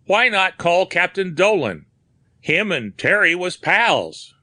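A man voicing a rough dockside loafer who talks eagerly in ungrammatical speech. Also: high-pitched, sing-song, slow pace, pushed and bright, dry recording, pitched above the performer's own voice, heavily acted. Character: male wharf loafer, eager informant.

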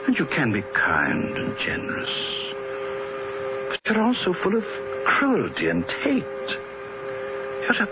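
An actor playing a grave, courteous alien who judges humanity, speaking deliberately in a 1960s radio drama. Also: male voice, high-pitched, wildly swooping pitch, heavy background noise, full character voice. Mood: regretful, solemn. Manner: measured, deliberate, polite, pausing for words. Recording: dull 1960s radio broadcast recording.

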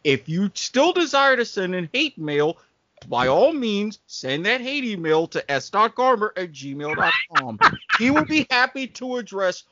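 A man's voice: high-pitched, very animated, measured pace, pushed and bright, highs cut off, slight background noise. A man hosting a comedic podcast with casual banter and a stammering, theatrical showman's delivery. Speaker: podcast host.

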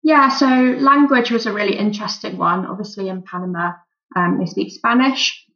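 A woman doctor in a relaxed interview, answering thoughtfully with frequent "um" fillers. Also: medium pitch, normal speed, dull audio. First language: English. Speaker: volunteer doctor, guest.

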